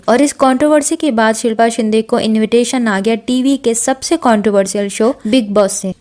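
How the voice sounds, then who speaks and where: A woman's voice: on the high side, a little mellow. A woman presenting entertainment news as a conversational story, mixing in English words. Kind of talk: narrated celebrity controversy story, conversational explainer.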